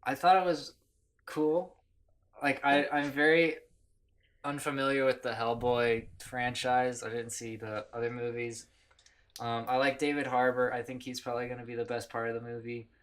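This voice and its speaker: A man's voice: medium pitch, little pitch movement, normal speed, fairly bright tone. Laid-back hesitant male co-host. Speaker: podcast co-host. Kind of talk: casual chat.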